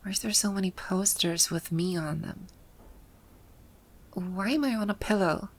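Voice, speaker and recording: Woman acting a haughty, slow-spoken villainess boss. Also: mid-range voice. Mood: baffled, haughty. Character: haughty, easily flustered female video game final-boss villain. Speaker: voice actor. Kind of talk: ASMR roleplay acting.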